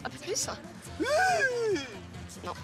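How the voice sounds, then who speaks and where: A woman in her twenties, a contestant on a TV dating show, bold, teasing and curtly dismissive. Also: very slow. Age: 20s. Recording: TV show audio with music under it.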